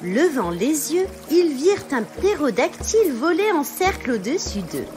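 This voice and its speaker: A woman's voice: sits high, very animated, a little slow. A woman narrating a scripted children's story as a storyteller. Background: music.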